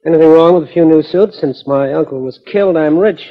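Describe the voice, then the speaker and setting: A man's voice: on the high side, quick pace, lightly acted. A man playing a spoiled, impatient, haughty spendthrift heir in a 1940s radio drama. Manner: haughty, peevish. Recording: muffled, dull 1940s radio recording.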